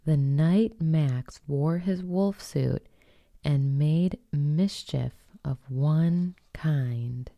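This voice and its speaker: A woman reading a children's picture book aloud as host, in an expressive storytelling manner with dramatic pauses. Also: low voice, gravelly. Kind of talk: slow bedtime storybook read-aloud.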